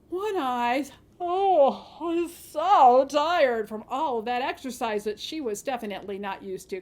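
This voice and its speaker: A woman telling a fairy tale to children in an expressive, dramatic manner with informal asides. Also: fairly high pitch. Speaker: children's storyteller at a library storytime.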